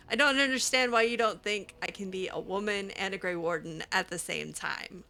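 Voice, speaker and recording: A woman's voice: medium pitch, normal speed, gravelly, sharp tone. A woman hosting a game roleplay, talking back to characters with sarcastic, hesitant remarks and laughs. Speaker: roleplay game player and channel host.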